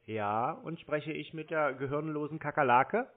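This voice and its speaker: A man's voice: fairly high pitch, moderate pace. A man talking casually on the phone, taunting the other person in a blunt, provocative way. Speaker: prank call host baiting scam callers. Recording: tinny narrowband phone line.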